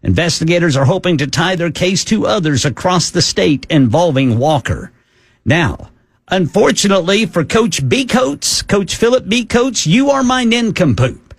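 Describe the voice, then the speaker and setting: A man's voice: high voice, normal speed, creaky, slightly rough, no echo. A man co-hosting a radio talk show, telling stories and reading out a news item with wry asides. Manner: animated, wry storytelling. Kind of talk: reading a news story and chatting.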